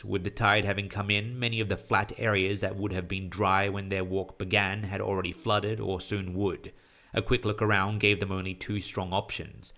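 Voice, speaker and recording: Male narrator, lowish pitch, scripted horror storytelling. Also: fairly bright tone.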